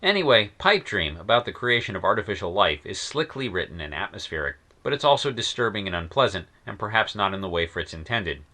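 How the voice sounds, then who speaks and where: A man's voice: lowish voice, very animated, brisk, hardly any pauses, pushed and bright. A man narrating a scripted review of old science fiction stories, wry and enthusiastic with sardonic asides. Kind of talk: scripted narration in a period persona.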